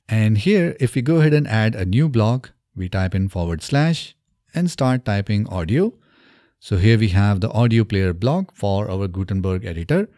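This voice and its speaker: A man in his thirties presents a software walk-through in a slightly low, soft-edged voice. His intonation rises and falls a lot as he moves methodically from step to step. The sound is close and dry, from a studio microphone. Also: dry recording. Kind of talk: step-by-step screen tutorial narration. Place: small indoor home studio. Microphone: close studio microphone on boom arm.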